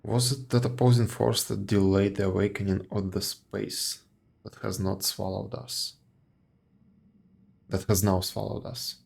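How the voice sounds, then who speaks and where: A man's voice: fairly low pitch, little pitch movement, a little slow, gravelly, darkish tone, breathy edge. A man streaming a video game, reading dialogue aloud and reacting offhandedly.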